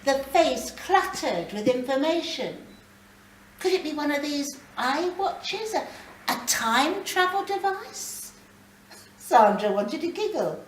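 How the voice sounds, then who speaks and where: A woman reading literary fiction aloud live, with measured, dramatic phrasing and pauses. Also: high-pitched, big pitch swings. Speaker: reader of a short story. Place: library reading event, indoors. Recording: roomy, distant mic, faint background noise.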